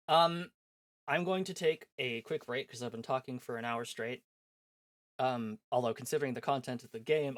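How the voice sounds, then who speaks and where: A man streaming a visual novel, reading its text aloud and chatting between scenes in an animated, self-mocking, jokey way. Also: fairly high pitch, dry recording, studio quality. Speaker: game streamer. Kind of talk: live-stream chat, reading a game aloud.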